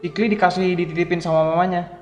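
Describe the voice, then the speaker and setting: A man's voice: high voice, fairly even intonation, rapid-fire, highs cut off. A man giving casual, colloquial gameplay commentary to his viewers as a streamer. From Indonesia. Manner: chatty, colloquial, addressing viewers. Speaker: game streamer. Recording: echoey room, game music behind. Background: video game music.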